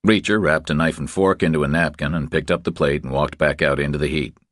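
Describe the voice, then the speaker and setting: A man's voice: extremely low pitch, steady tone, a little fast, a bit bright, dry recording, clean studio sound. A man reading a crime thriller audiobook as scripted narration.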